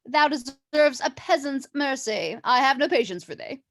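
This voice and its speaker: A woman's voice: sits high, animated, normal speed, fairly bright tone. A woman playing a bossy, confident teenage girl in a mock-Shakespearean staged reading, with haughty, theatrical archaic speech.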